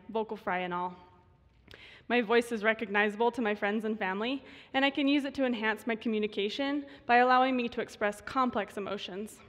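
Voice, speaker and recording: A woman in her twenties with vocal fry, a student voice researcher giving a prepared stage talk in measured tones. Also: fairly high pitch. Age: 20s. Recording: reverberant hall.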